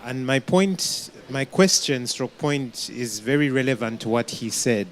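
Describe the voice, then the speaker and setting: A man with a Zambian accent asks a question at a panel event, deliberately and with pauses. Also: mid-range voice, lively intonation. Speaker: audience questioner. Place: conference pavilion with small audience. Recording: audible room noise at event.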